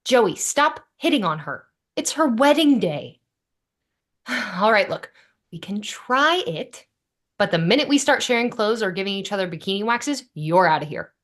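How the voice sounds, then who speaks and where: Animated, bossy young woman, played by a woman. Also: medium pitch, moderate pace. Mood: exasperated. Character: bossy, caring, wry young city woman. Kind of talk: sitcom table read.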